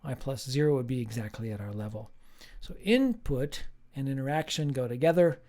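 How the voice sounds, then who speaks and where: A man, a professor, giving a slow, emphatic recorded lecture with playful asides. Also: medium pitch, lively intonation, dark tone.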